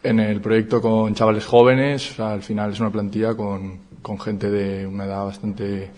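Young man from Madrid, handball player; lowish, flat, relaxed interview voice. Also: normal speed. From Madrid, Spain. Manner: relaxed, modest, repeating words. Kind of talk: post-signing interview.

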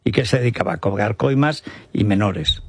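A man's voice: on the low side, moderate pace, slightly rough, dry recording. A mature man with a Spanish accent from Spain, giving opinions in a panel discussion.

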